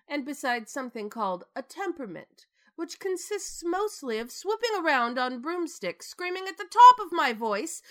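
An actress playing a temperamental, insecure theatre star in a radio drama, dramatic and self-mocking. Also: female voice, high-pitched, a bit bright, heavily acted.

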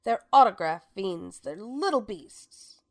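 Famous theater diva, sharp-tongued, affected, very animated, dry close sound, radio play. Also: female voice, mid-range voice, slow, dry recording. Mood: caustic, wisecracking. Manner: sardonic, theatrical, grandly affected. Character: famous theatrical stage actress, grand, sharp-tongued, wisecracking. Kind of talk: radio drama acting.

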